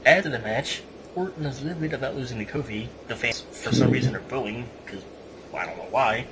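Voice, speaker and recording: A man's voice: mid-range voice, a little fast. A man hosting a podcast, giving a casual, sarcastic play-by-play recap. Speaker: podcast host. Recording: clearly noisy home podcast recording.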